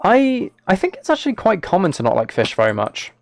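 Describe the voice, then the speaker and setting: A man's voice: high-pitched, wildly swooping pitch, brisk, gravelly, no room sound. British man live streaming, dry close-miked sound. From United Kingdom. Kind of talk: casual game livestream chat with viewers.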